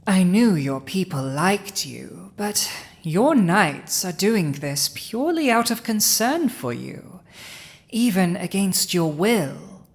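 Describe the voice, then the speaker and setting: A woman's voice: lowish voice, big pitch swings, heavily acted. A woman playing a haughty, cunning dragon ruler in human form, smug and commanding, in a roleplay audio drama spoken to the listener. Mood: incredulous, frustrated. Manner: smug, commanding, deliberate, with pauses.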